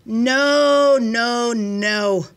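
A woman's voice: high-pitched, very animated, slow pace, fairly bright tone, voice pushed up, exaggerated voice. A woman voicing a blustering, hot-tempered male army general in a storybook, with a barking, commanding manner. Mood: frustrated. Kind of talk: storybook character voice.

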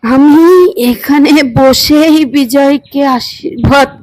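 Older mother-in-law played by a woman: gentle, affectionate, slow, high-pitched, doll-tale cartoon. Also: steady tone, slight background noise, poor audio. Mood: fond, agreeable. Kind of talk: children's doll-story voice acting.

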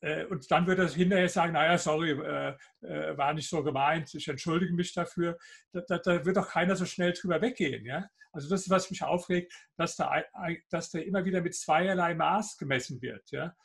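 An older man with a mild Hessian lilt answers questions over a video call from his home office. His voice sits fairly high for a man, with a gravelly, husky edge. He pauses often on fillers as he builds a pointed argument. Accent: Hessian German. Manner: hesitant, many fillers, argumentative. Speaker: guest author. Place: home office with bookshelves, indoors.

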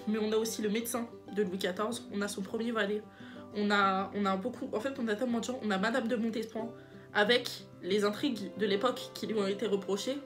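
A woman's voice: medium pitch, steady tone, brisk, over music. A young woman with a stuffy, cold-congested nose, chatting casually and enthusiastically about books she read, often searching for words and repeating herself. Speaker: book vlogger. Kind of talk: casual book review vlog.

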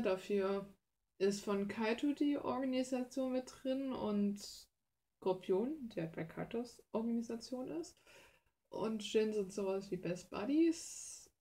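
A woman's voice: medium pitch, dark tone. A young adult woman from Germany chatting casually as she works on her art, self-deprecating, blunt and slangy, recorded at a desk in a small room. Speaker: hobby artist and video creator. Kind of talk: casual rambling chat while painting.

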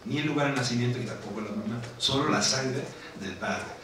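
A man's voice: medium pitch, brisk. Middle-aged German-accented man, author on a panel, chatty anecdotes, distant hall recording. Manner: animated storytelling, laughing, frequent tag questions. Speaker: author at a book presentation. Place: large lecture hall. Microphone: table microphone on stand. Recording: echoey hall from far off with noise.

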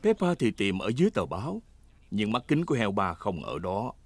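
A man narrating a children's cartoon in a gentle storybook manner. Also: fairly low pitch, wildly swooping pitch, fairly quick. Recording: narration over loud music.

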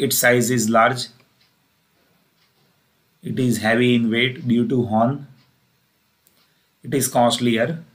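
A man with an Indian accent giving a plain, methodical technical lecture, repeating key phrases as he explains. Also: mid-range voice. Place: voice-over for a screen recording.

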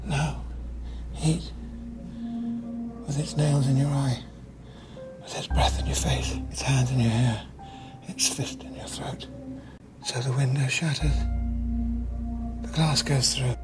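A man reading a dramatic poem in clipped, intense fragments, his delivery often hushed. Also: medium pitch, fairly even intonation, takes it slowly, pauses often. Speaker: reader. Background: music.

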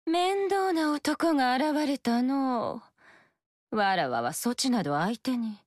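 A woman's voice: high-pitched, lively intonation, slow pace, no room sound, full character voice. An actress voicing a scheming, seductive female fox spirit in a video game, coy and languid. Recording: dry studio recording.

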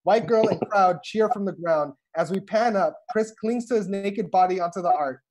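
A man's voice: high-pitched, normal speed, darkish tone. A man narrating a script at a table read, reading the stage directions aloud over a video call. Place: indoors at home on a video call. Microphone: video call microphone.

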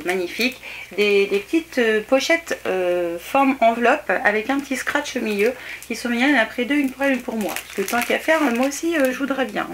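A woman's voice: on the high side, background noise. A woman in her thirties from France, chatting through a shopping haul at home, hesitant with many fillers and enthusiastic. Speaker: haul vlogger. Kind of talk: casual show-and-tell haul. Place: small indoor bedroom set.

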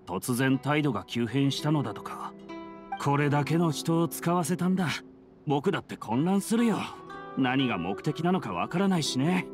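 A man's voice: on the high side, lively intonation, moderate pace, natural voice. An actor playing a puzzled, mild young townsman in an anime game, gossiping casually. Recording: music behind the voice.

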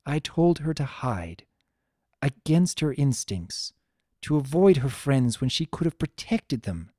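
A narrator voicing a wise, weary female pony princess, the elder sister, speaking in a measured, solemn and gentle way for a story reading. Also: mid-range voice, big pitch swings, slightly rough, no room sound, clean studio sound. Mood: troubled, regretful.

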